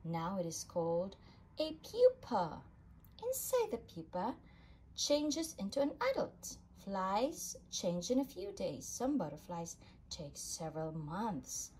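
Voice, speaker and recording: A woman reading a children's science book aloud in an animated storybook manner, with emphasis for young listeners. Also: medium pitch, slow pace. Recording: clearly noisy home recording.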